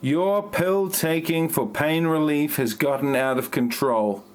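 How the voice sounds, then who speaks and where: A man acting out a stiff, self-important writer who hacks on the side. He speaks slowly and robotically in a fairly high male voice, full of grievance, as in an amateur parody audio play. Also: natural voice. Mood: earnest, stilted. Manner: stilted, robotic delivery. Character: stiff, aggrieved male novelist and self-styled hacker.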